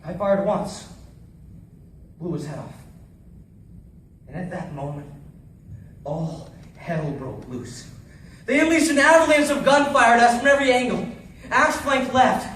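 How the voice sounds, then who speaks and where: A man performs a haunted military survivor in a dramatic stage-style monologue. The voice is high for a man and intense, moving between hushed grief and urgency. It sounds distant in a heavily echoing space with faint noise. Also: a little slow, long pauses. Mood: haunted, grim. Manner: theatrical, intense, swinging from hushed to shouting. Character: haunted Navy SEAL survivor recounting a deadly battle. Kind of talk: dramatic first-person monologue.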